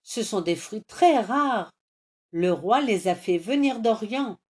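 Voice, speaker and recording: A woman's voice: mid-range voice, animated, slow, a little mellow, pitched above the performer's own voice. A woman playing a sly little hen girl. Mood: matter-of-fact. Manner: sly, teasing sing-song.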